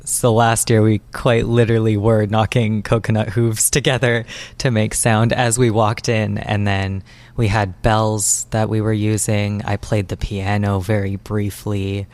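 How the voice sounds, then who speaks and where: A man's voice: fairly low pitch, level tone, a little slow, darkish tone. A young man, a student, answering interview questions in a casual, conversational way. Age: young adult. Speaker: student interviewee, stage manager and director.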